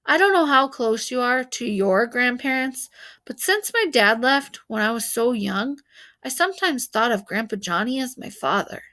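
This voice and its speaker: A woman reading a children's novel aloud as an expressive storyteller, narrating in the first person. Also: fairly high pitch, moderate pace.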